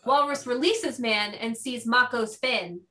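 A woman's voice: fairly high pitch, a little slow, sharp tone. A woman giving scripted narration, reading out the stage directions of a play.

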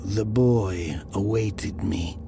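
A man playing a haunted, fearful storyteller, narrating an audio drama in a halting, hushed, intense way. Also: low-pitched, gravelly, music in the background, full character voice. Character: haunted, fearful male storyteller recalling an eerie descent.

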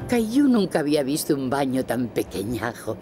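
A woman playing a kindly storyteller, narrating a children's cartoon in expressive Castilian Spanish. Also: extremely low pitch, normal speed, loud music behind the voice. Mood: gentle, amused.

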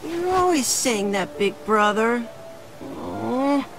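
A woman's voice: on the high side, lively intonation, slow pace, over music, low-quality recording. A woman voicing an eager little boy, an adoring younger brother, whiny and pleading, in an anime dub.

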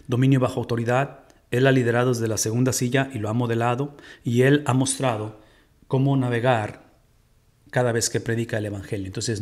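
A middle-aged Mexican man with a Mexican Spanish accent, teaching a Bible study from a home room and putting rhetorical questions to his listeners. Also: medium pitch. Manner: animated, gestures while teaching, asks the audience rhetorical questions.

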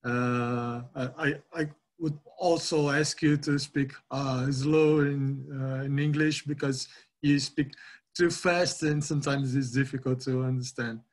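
A middle-aged man from Brazil with a Brazilian Portuguese accent, giving a halting academic webinar talk over a video call with many fillers and restarts. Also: medium pitch, steady tone. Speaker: guest lecturer.

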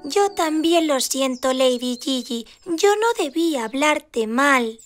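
A woman's voice: high-pitched, lively intonation, takes it slowly. A woman voicing a sweet, adoring little puppy in a cartoon, earnest and gushing, with a Castilian Spanish accent. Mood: apologetic. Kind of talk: animated cartoon dub. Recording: studio voice under loud music.

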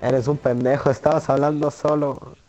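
A man chatting on voice chat with a friend, making mocking, deadpan jabs. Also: medium pitch, normal speed, lo-fi sound, soft background music. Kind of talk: casual joking banter.

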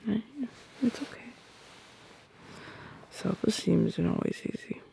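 A woman voicing a tender, playful, possessive girlfriend in an ASMR roleplay, speaking softly and intimately close to the microphone in a breathy, often whispered voice. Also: medium pitch, lively intonation, a little hiss or hum, full character voice. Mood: soothing, tender. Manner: soft, intimate, unhurried, teasing. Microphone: close microphone, dry.

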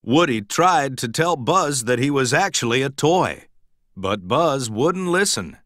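A man reading a children's storybook aloud in an expressive, dramatic, emphatic storytelling manner. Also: medium pitch, unhurried, no echo, clean studio sound. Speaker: storybook narrator.

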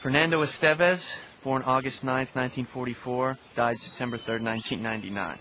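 A man's voice: mid-range voice, fairly even intonation, brisk, pushed and bright, lightly acted. Outdoor noise and birdsong sit behind a laconic American man, played by a male actor. His mid-range voice is dry and understated, a little hesitant. He sounds like the skeptic in a radio play. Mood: mild, wry. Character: skeptical, laconic man humoring an eccentric friend. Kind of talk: audio drama acting. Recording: noisy outdoor ambience with birdsong.

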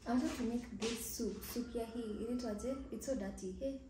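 A young Kenyan woman with a raspy morning voice rambles through a relaxed vlog in her kitchen, often sinking into a soft near-whisper. The room bounces her voice back with a clear echo, and a faint background hiss sits under it. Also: on the high side, moderate pace. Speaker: vlogger. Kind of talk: casual vlog chat.

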